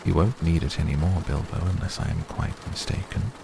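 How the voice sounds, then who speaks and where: Man voicing old wizard, deep creaky voice, grave, thoughtful, quietly commanding, storybook, noisy recording. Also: steady tone, fairly quick. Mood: grave, measured.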